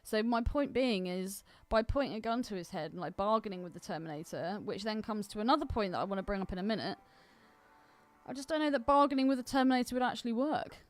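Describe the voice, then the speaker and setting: A woman with a mid-pitched voice co-hosts a podcast about films. She argues her opinions casually and readily. The sound is dry, with little trace of a room. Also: fast, no room sound. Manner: opinionated, argumentative. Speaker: podcast co-host. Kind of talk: casual film discussion.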